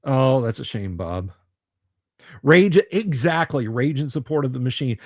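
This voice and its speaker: Slow, rambling male livestream host. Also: mid-range voice, expressive, no echo, studio quality. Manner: rambling, repeats words, sarcastic asides. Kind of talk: casual chat reading viewer comments.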